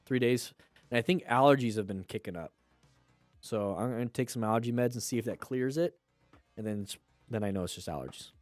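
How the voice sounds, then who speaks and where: A man's voice: medium pitch, fairly quick, dry recording. A congested man talks through a casual livestream with a stuffy, nasal voice. He rambles in loose asides between motorcycle clips and plugs a sale. Faint music plays behind him.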